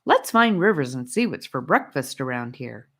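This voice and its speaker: A woman's voice: very deep voice, sing-song, moderate pace, fairly bright tone, breathy edge, exaggerated voice. A woman voicing a perky, playful, always hungry little boy in a children's storybook.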